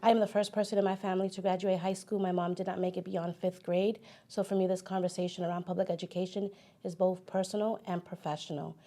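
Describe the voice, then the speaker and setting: A woman's voice: fairly low pitch, dark tone. A woman speaks as a debater, making a personal argument in a formal setting.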